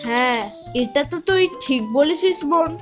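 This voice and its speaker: A woman voicing a timid, excitable little village boy in a children's cartoon, nervous and easily rattled. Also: high voice, normal speed, soft background music. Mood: doubtful, thoughtful. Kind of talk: children's moral-story cartoon acting.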